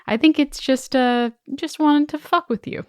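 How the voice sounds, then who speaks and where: A woman's voice: sits high, unhurried, darkish tone, no echo, clean studio sound. A woman co-hosting a podcast, chatting casually and reading emails aloud with playful enthusiasm. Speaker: podcast host.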